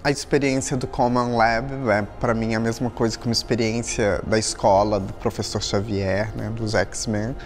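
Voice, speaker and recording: A man giving a relaxed, reflective answer in an interview. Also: lowish voice, normal speed, hardly any pauses.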